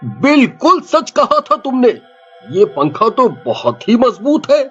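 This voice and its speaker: Man as a high-voiced, slow young cartoon man. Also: huge pitch swings, breathy edge, lo-fi sound, faint music behind, full character voice. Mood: coaxing. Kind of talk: cartoon dialogue acting.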